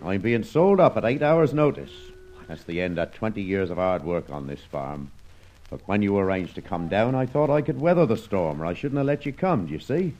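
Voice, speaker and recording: An actor playing a proud, plain-spoken, worried old farmer with a rural English accent in an old radio serial. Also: male voice, on the low side, sing-song, moderate pace, darkish tone, dull audio. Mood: grieved.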